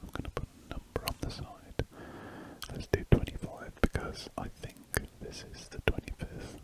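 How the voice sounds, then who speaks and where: A man's voice: moderate pace, low-quality recording. A man whispering throughout as he chats casually while drawing, an ASMR host who rambles and corrects himself mid-sentence. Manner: soft unvoiced whisper, rambling and self-correcting.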